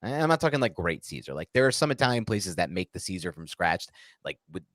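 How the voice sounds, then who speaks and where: Male American sports co-host. Low register, rapid delivery, emphatic and opinionated, loose casual chat. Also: very animated, a bit bright, dry recording, studio quality. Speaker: sports talk show co-host. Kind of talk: casual live Q&A chat about American football.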